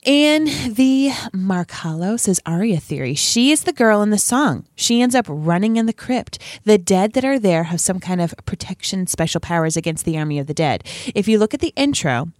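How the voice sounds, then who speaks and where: A woman's voice: medium pitch, expressive, moderate pace, few pauses, darkish tone, dry recording, studio quality. A woman co-hosting a fan podcast, reading listener comments aloud and giving strong opinions in a teasing way. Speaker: podcast co-host.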